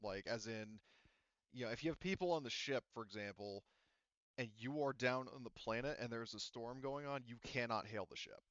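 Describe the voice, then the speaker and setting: A man's voice: medium pitch, moderate pace, a bit bright, smooth, dry recording, dull audio. A man acting as game master, explaining the setting in a rambling, casual way with many fillers. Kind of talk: casual tabletop game narration.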